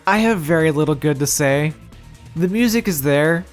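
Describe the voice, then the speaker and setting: Higher-pitched man narrating a game review. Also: animated, moderate pace. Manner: opinionated, wry, casually profane. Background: music.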